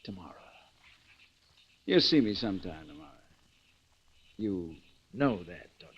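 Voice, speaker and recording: An actor playing a menacing killer stalker with a slow, taunting delivery in an old-time radio crime drama. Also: male voice, low voice, very animated, husky, heavily acted. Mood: coldly menacing. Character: menacing killer stalker extorting a frightened woman. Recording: muffled old radio recording.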